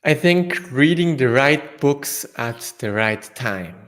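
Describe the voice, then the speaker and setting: Slow-talking man with a German accent. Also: medium pitch, animated, fairly bright tone. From northern Italy, South Tyrol. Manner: halting, with fillers and restarts. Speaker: guest entrepreneur. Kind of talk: casual interview answers.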